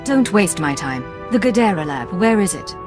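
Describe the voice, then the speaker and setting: A woman's voice: medium pitch, very animated, moderate pace, music in the background, lightly acted. An actress playing a cool, blunt female Imperial agent in a video game, curt and commanding.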